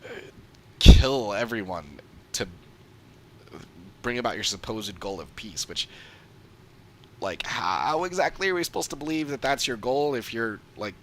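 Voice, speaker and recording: A man's voice: medium pitch, lively intonation, measured pace, pauses often, a bit bright, slight background noise. A man giving casual, off-the-cuff livestream commentary as a game streamer, emphatic and repeating points for stress.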